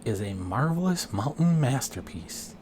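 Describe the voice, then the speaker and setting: A man voicing an admiring male fair judge in a children's storybook, savoring drawn-out praise. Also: medium pitch, sing-song, darkish tone, slight background noise, pitched above the performer's own voice.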